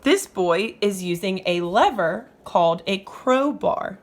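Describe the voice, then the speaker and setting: A woman's voice: medium pitch, animated, takes it slowly. A woman reading aloud to young children as a teacher would, explaining as she goes and putting questions to the listener. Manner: instructive, asks the listener questions.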